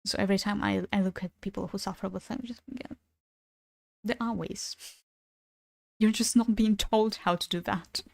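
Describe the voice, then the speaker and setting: Young woman, Ukrainian native speaker, casual stream chatter, mid pitch, dry recording. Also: steady tone, moderate pace, creaky, long pauses, darkish tone, no room sound. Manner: chatty, swears casually, laughs between thoughts. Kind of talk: casual gaming stream chat.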